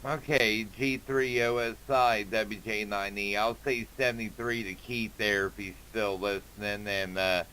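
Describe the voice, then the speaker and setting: A man's voice: fairly low pitch, level tone, unhurried, few pauses, bright, forceful tone, a little hiss or hum, poor audio. An American man in his forties from Indiana, talking in a casual, folksy way as an amateur radio operator. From Indiana, United States. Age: 40s. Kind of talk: casual ham radio contact.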